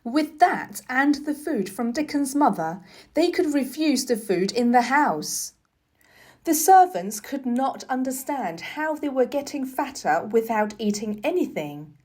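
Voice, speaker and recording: A woman reading a children's story aloud as scripted narration, with deliberate, expressive delivery. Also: sits high. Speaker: storybook reader.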